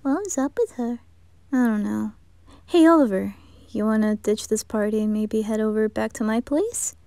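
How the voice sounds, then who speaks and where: Woman playing a mellow, tender boy in love. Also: on the high side, normal speed, no room sound. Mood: earnest. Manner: gentle, breathy, often near whispering. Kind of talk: amateur romantic ASMR roleplay.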